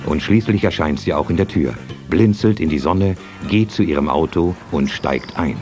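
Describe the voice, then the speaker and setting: A man's voice: rumbling low, normal speed. A man playing the storyteller of an action adventure, reading scripted German audio drama narration. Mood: narrating. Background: loud guitar music.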